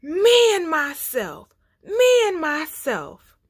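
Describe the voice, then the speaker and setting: A woman voicing a proud male lion from a children's storybook, with drawn-out, boastful, wailing delivery. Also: extremely high pitch, huge pitch swings, no echo, clean studio sound, pitched above the performer's own voice, exaggerated voice. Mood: roaring, proud. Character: proud roaring male lion, boastful, later humbled and whiny.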